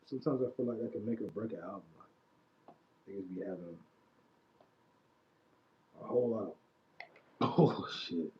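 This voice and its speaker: A man's voice: on the low side, moderate pace, pauses often. A man in his late twenties chatting casually on a livestream about music, laid back and slangy, trailing off into mumbled asides. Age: 20s. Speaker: livestream host. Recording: dull lo-fi stream audio.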